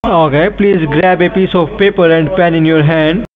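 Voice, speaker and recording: A man's voice: a little high, fairly even intonation, moderate pace. A man posing as an officer on a phone call, speaking in an insistent, formal way and repeating his instructions. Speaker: scam caller posing as an officer. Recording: tinny phone line.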